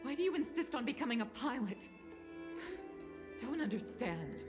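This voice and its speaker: A woman's voice: high voice, sing-song, measured pace. A woman voicing a stern, protective mother and formal official in a video game drama. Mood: angry, reproachful. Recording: game audio with music behind. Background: music.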